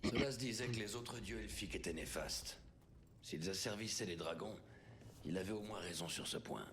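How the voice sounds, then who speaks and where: An actor playing a wry, wary adventurer in a dubbed fantasy video game. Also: male voice, low-pitched, normal speed, fairly bright tone, a little hiss or hum.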